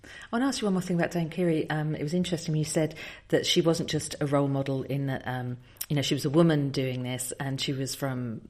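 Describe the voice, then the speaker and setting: Low-voiced curious woman interviewer. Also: fairly even intonation, fast, a little mellow. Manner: curious, playful questioning. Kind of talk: casual interview chat.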